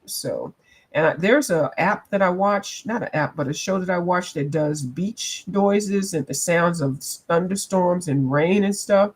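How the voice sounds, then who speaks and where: A woman's voice: fairly low pitch, unhurried, slightly rough. A woman from the eastern United States chatting casually with live viewers while she colors, reading out their comments and laughing often. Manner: laughs often, playful, drifts between accents. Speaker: coloring livestream host.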